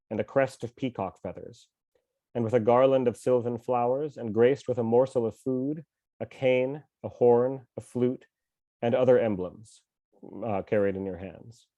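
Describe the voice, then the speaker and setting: A man who is mildly ill with a cough reads a scripture translation aloud at home, in a measured, slow recitation with pauses. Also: mid-range voice, mellow, no echo, muffled recording. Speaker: scripture reader and teacher.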